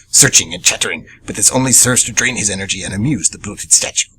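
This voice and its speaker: A man narrates a scripted story in a low, bright voice. He reads at a quick clip for a radio theatre piece. Some background noise sits under the recording. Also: poor audio.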